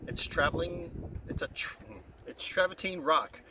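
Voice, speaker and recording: A man's voice: fairly high pitch, unhurried, voice pushed up, natural voice. A man voicing a perceptive elk who explains things in a children's storybook. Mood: explaining. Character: perceptive elk calmly explaining rock formations. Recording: very noisy, distant-mic recording.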